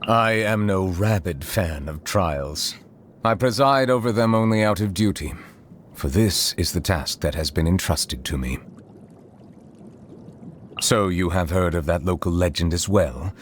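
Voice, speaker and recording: A man playing a reserved, eloquent chief judge in a video game, speaking in a formal and measured way. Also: low voice, lively intonation, slight background noise. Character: formal, reserved male chief judge, secretly a water dragon. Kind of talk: scripted game character voice lines. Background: music.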